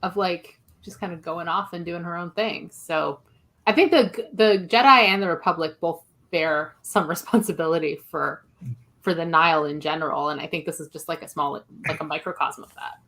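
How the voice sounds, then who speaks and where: A woman's voice: sits low, steady tone, moderate pace, a bit bright. A woman giving her opinions in casual conversation as a guest reviewer on a podcast.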